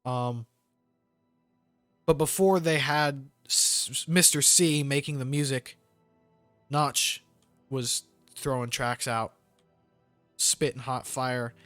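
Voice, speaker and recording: A man's voice: mid-range voice, fairly even intonation, slow pace, long pauses, fairly bright tone, clean tone, no room sound, faint music behind. A man giving casual, rambling gameplay commentary with jokey slang. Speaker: gamer hosting a let's play.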